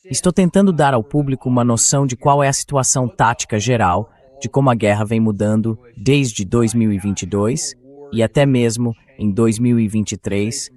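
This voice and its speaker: Male interview host, lowish voice, measured and explanatory, translated voice-over read. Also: a little mellow.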